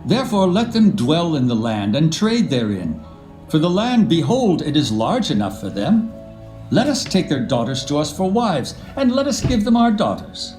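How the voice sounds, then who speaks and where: An actor playing an eager, persuasive young prince of ancient times in a dramatised scripture reading, earnest and declamatory. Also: male voice, on the high side, darkish tone. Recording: roomy echo, music behind.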